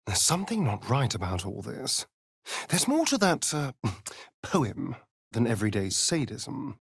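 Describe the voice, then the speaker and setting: A flamboyant, sardonic vampire aristocrat from a fantasy video game, with an English accent. His delivery is theatrical and drawling, leaping up and down in pitch with arch relish. It is recorded close and dry, at an unhurried pace. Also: wildly swooping pitch, gravelly, husky, no echo, exaggerated voice. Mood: wry, amused.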